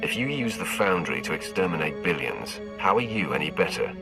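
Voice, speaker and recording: An actor playing a cold, challenging Sith lord in a video game, stern and commanding. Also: male voice, low-pitched, sing-song, brisk, creaky, over music, exaggerated voice, rough recording. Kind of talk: dramatic video game acting.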